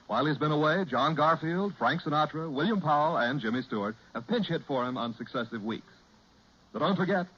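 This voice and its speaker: A man's voice: on the high side, fairly bright tone, husky, lo-fi sound. An American man announcing in polished scripted 1940s radio style, on an old broadcast recording.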